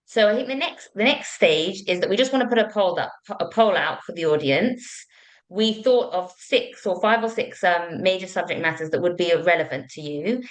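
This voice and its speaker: A woman's voice: on the low side, moderate pace, rough recording. A woman hosting an online panel in an informal, conversational way. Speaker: webinar host.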